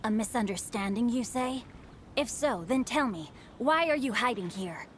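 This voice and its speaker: A woman's voice: fairly high pitch, animated, moderate pace, slight background noise, close to an everyday voice. A woman voicing a courteous, self-assured, bookish young nobleman in a video game, speaking formally and eloquently with a theatrical touch. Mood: stern.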